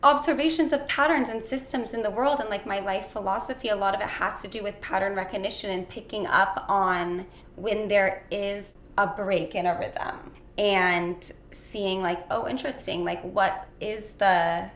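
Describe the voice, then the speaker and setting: A young woman in casual interview conversation, dreamy and full of "like" fillers, slightly hoarse. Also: mid-range voice, fairly even intonation, normal speed, hardly any pauses, a bit bright. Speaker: guest. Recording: echoey room with faint noise.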